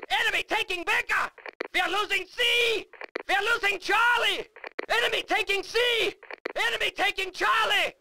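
An actor playing a fierce, fanatical German army officer who barks commanding battle announcements in a German accent for a game. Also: male voice, very high-pitched, background noise. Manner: barking, emphatic, commanding. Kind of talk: shouted military game announcements.

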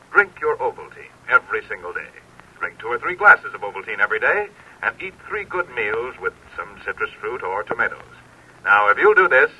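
A heroic aviator captain of 1940s radio, hearty and earnest as he urges young listeners on. The voice is husky, on a dull, distant old recording. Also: medium pitch, sharp tone, heavily acted. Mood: earnest, urging. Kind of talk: 1940s radio acting and sponsor pitch.

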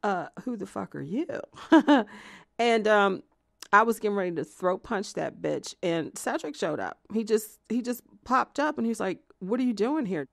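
A woman's voice: mid-range voice, expressive, moderate pace, creaky, no echo, studio quality. A woman playing a jilted, obsessive lover in an audio theatre monologue, confessing in a hesitant, conversational ramble with self-justifying, indignant edges. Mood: indignant, incredulous. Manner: hesitant, filler-strewn rambling that flares into swearing indignation.

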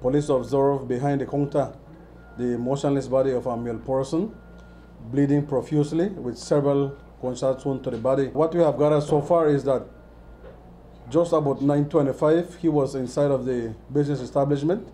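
A middle-aged Belizean police officer speaks in very dark, mellow tones, using stiff official phrasing. He talks into a handheld mic in an office, with faint noise behind him. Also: mid-range voice, steady tone. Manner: formal police jargon, deliberate. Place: office, indoors. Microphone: handheld mic at close range.

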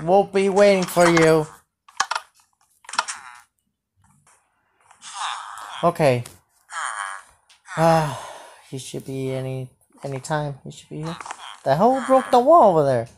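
A woman playing a bossy, easily startled king in an improvised game roleplay, haltingly repeating phrases. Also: deep voice, animated. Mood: eager, demanding. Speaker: video creator playing a roleplay. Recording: noisy home mic with clicks.

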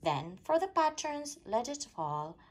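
A woman reading a rhyming children's picture book aloud in an expressive, sing-song storytelling way. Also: sits high, unhurried. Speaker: storytime reader.

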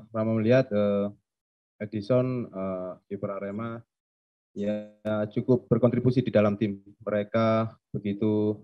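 A young man, a football player, answering press questions hesitantly with many fillers and speaking of himself by name. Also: lowish voice. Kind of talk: post-match press answers. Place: press conference room. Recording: dull lo-fi stream audio.

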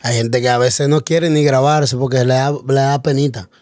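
A man's voice: mid-range voice, fairly even intonation, normal speed, a little mellow, no room sound. Playful man, dry close sound. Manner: joking, playful, uses slang exclamations. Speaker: interviewer. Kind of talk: casual teasing interview.

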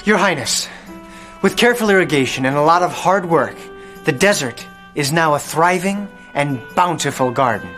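A young, naive knight hero. He speaks earnestly in a high, lively voice, with music behind him, as in a comic game cutscene. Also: moderate pace. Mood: eager. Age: young adult. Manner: bright-eyed, polite, earnest. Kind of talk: comic fantasy game acting.

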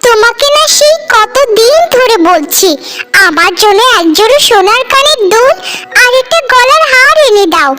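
Nagging, jealous cartoon housewife played by a woman: very high, animated, sarcastic complaints over music. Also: moderate pace, heavy background noise. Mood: nagging, resentful. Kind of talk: Bengali cartoon family drama acting.

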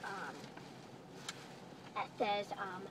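A woman's voice: mid-range voice, drawn-out words, background noise. A young teenage girl chatting casually from the back seat of a car. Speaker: vlogger's sister, co-presenter. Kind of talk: casual chat.

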